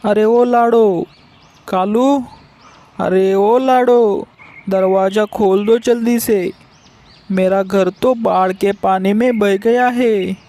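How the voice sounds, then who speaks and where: A man's voice: high-pitched, fairly even intonation, slow pace, long pauses, dark tone. A man voicing a hapless male crow who grumbles aloud to himself in a children's cartoon. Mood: pleading. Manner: exclaiming, complaining aloud to himself. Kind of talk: Hindi children's cartoon voice acting.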